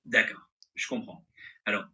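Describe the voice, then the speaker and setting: A man's voice: moderate pace, sharp tone. A male AI assistant voice with a mixed French accent and American-sounding intonation, replying in an agreeable, accommodating, conversational way.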